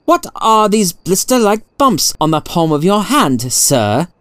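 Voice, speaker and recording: A man voicing a sharp, thoughtful detective in a storybook, with a formal, incisive way of speaking. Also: sits high, very animated, a little slow, smooth, no echo, pitched above the performer's own voice, full character voice. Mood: sharp, challenging.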